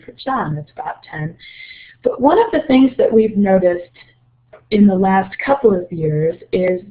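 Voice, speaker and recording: A woman with a slight cold giving an explanatory, measured webinar presentation as a presenter. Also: lowish voice. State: getting over a cold, slightly low voice. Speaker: presenter, report co-author. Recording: narrow muffled phone-like line.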